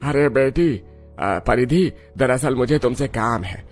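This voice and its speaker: A man's voice: medium pitch, animated, measured pace, faint music behind, close to an everyday voice. A man voicing a kindly, obliging middle-aged village headman in a cartoon, reassuring and deferential. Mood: kindly, coaxing.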